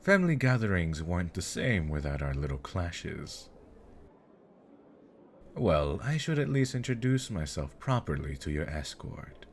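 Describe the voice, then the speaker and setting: A man's voice: sits low, big pitch swings, a little slow, a little hiss or hum, full character voice. A man playing a smug, teasing elder demon nobleman with mocking politeness and flirtatious charm, in an ASMR fantasy roleplay.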